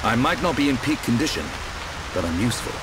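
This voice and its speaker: An actor playing a proud, battle-hardened swordsman in a video game, speaking in a measured, wry and resolute way. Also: medium pitch, sing-song, very noisy, close to an everyday voice. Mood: resolute, confident. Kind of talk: video game cutscene acting.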